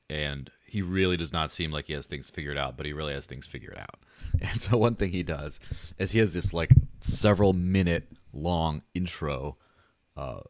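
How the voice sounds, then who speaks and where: A man's voice: rumbling low, no echo. A man hosting a podcast in casual livestream chat, joking and rambling with a light, buttery voice. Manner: joking, rambling, self-deprecating. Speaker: podcast host.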